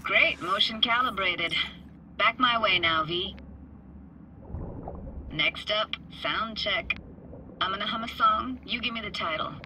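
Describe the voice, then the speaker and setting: A playful, self-assured young techie woman played by an actress in a cinematic video game. She talks in laid-back slang with a teasing edge, and clear watery ambient noise runs behind her. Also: medium pitch, unhurried, long pauses, close to an everyday voice. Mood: focused, casual. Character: playful, self-assured young female braindance editor and diver.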